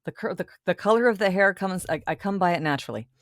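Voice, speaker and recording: A woman's voice: fairly low pitch, animated, rapid-fire, no room sound. Irish-born woman guest on a podcast, chatty and laughy, dry close mic. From Ireland. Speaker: voice actor guest. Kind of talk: casual interview chat.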